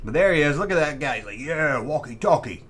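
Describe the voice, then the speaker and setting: A man's voice: fairly high pitch, expressive, very fast, a bit bright. A man hosting a vintage toy show, chatting informally and nostalgically and often repeating himself. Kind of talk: rambling nostalgic commentary on collectible toys.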